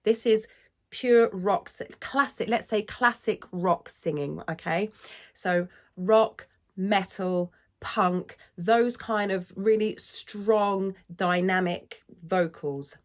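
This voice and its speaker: A woman singing teacher giving an upbeat, encouraging lesson to camera, now and then dipping into vocal fry. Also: medium pitch, takes it slowly. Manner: dips into vocal fry when speaking. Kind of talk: instructional talk to camera.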